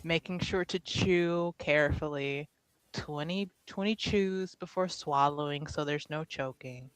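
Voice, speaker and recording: A woman's voice: low voice, measured pace, poor audio. A woman chatting casually and playfully as a player in an improvised tabletop roleplaying game.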